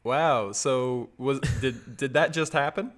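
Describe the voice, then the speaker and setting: A man's voice: mid-range voice, takes it slowly, a bit bright. Young male podcast host, twenties, easygoing, repeats himself, on a video call. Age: 20s. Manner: laughs often, stumbles and repeats words. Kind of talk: casual video-call chat.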